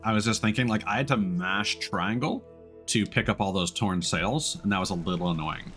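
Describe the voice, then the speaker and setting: A man's voice: lowish voice, moderate pace, a bit bright, soft background music. A man giving casual live commentary on a game, thinking aloud as he plays. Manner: thinks aloud while playing. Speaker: game streamer. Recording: faint game noise behind voice. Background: game ambience.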